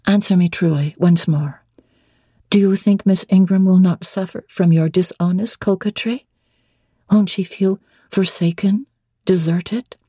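A woman's voice: on the low side, measured pace, warm tone, husky, no echo, studio quality. A woman playing a spirited, frank young bride-to-be with a playful, challenging manner in a dramatic novel reading. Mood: probing.

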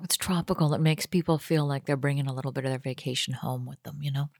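A woman's voice: rumbling low, fairly even intonation, fast talker, darkish tone, no room sound, clean studio sound. A young woman co-hosting a podcast from a basement lounge, chatty and joking, laughing often. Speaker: podcast co-host. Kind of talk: casual chat. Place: home basement tiki lounge.

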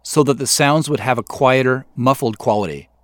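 A middle-aged man with an American accent, giving measured, explanatory scripted commentary as the creator of a show. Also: medium pitch, no room sound. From US Pacific Northwest. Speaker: audio drama creator and host. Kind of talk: scripted behind-the-scenes commentary.

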